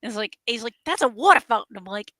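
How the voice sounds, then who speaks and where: Bright-voiced chatty New England woman. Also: on the high side, lively intonation, fast talker, no echo, clean studio sound. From New England, near the New Hampshire border. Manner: chatty, stumbles and restarts often. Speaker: co-host. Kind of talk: casual chat on a live stream.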